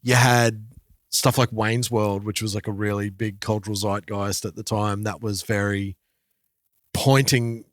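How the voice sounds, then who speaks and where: A man in his forties chatting casually as a podcast host, in a halting way that repeats words as he thinks. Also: fairly low pitch, level tone, dry recording. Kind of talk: casual chat about music and culture.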